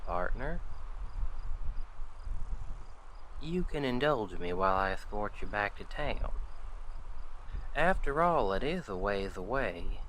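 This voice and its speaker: A man playing a friendly, gentlemanly Old West sheriff in a western audio roleplay, folksy and reassuring as he talks to the listener. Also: fairly low pitch, slight background noise, exaggerated voice, poor audio. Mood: easygoing, wry. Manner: folksy, unhurried, reassuring cowboy talk.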